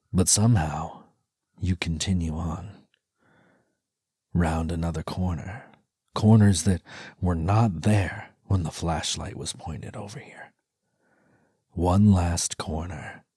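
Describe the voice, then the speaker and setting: A man tells an immersive second-person story in ASMR style. His voice is very deep and unhurried, with a rough, breathy huskiness that often falls to a near whisper. The sound is dry and intimate, right up on the microphone. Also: a little mellow, dry recording. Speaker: audio storyteller, ASMR performer. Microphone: close dry microphone.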